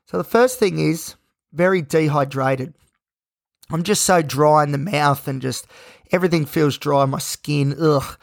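Male host, croaky and gravelly, tired, rambling monologue, dry close sound. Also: a little high, dry recording. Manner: rambling, self-reflective, frequent fillers. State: sleep deprived. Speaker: podcast host. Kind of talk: personal monologue.

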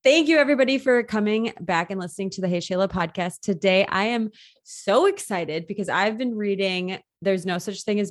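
A woman hosting a podcast, chatting casually with gushing enthusiasm. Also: fairly low pitch. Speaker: podcast host. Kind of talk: casual upbeat interview chat.

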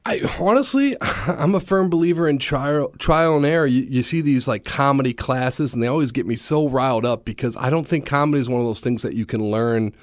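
A man talks casually in a radio interview, his voice a little scratchy from a long day. He is chatty and self-deprecating and laughs easily. His voice sits at a middle pitch and is recorded dry and close. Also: a little fast, hardly any pauses, no room sound. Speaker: stand-up comedian and guest.

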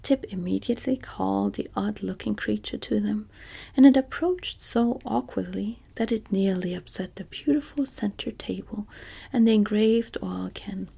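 A woman's voice: mid-range voice, creaky, husky. Woman whispering a storybook. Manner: soft, measured whisper.

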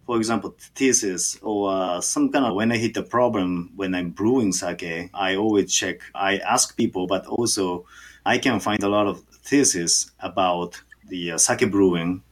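A man with a Japanese accent, a native Japanese speaker, answering interview questions haltingly with many fillers and restarts. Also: deep voice. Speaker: sake brewer.

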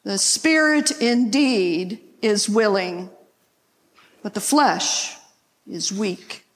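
Slow, solemn woman reading as Jesus, deep reverb. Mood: reproachful. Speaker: scripture reader, church member. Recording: echoey church hall, long reverb.

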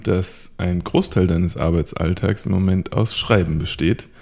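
A man with a deep, gravelly voice hosts a conversational podcast. His tone is dark and mellow, and he strings his questions together in long, easygoing runs. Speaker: podcast host.

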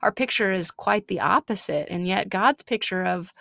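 A woman's voice: medium pitch, normal speed, vocal fry, bright, forceful tone, no echo, studio quality. A woman speaking earnestly and emphatically in a casual faith conversation, building her points with repetition.

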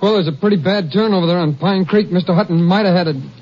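An actor playing a nervous, meek young logger's helper in a 1950s radio western, hesitant and stammering, with rustic grammar. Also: male voice, high-pitched, quick pace, darkish tone. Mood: evasive, anxious. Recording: muffled old radio, faint noise.

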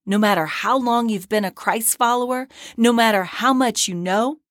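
A woman hosting a devotional podcast, earnest and encouraging, her voice hoarse and scratchy from a cold. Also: on the high side, normal speed, no echo, studio quality. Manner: earnest and encouraging, with short breathy laughs. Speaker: podcast host and ministry founder. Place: podcast studio.

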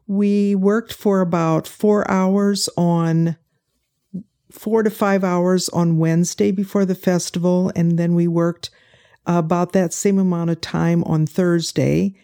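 A woman's voice: lowish voice, fairly even intonation, slow, dark tone. An older woman chatting as an interview guest, telling stories and acting out what people said to her. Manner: storytelling, acts out quoted people. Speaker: guest, pie sale volunteer. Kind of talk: casual interview chat.

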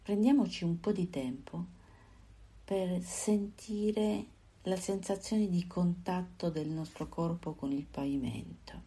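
A woman guiding a body scan meditation, giving calm, unhurried instructions in short phrases with pauses. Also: on the low side, breathy edge. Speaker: meditation guide.

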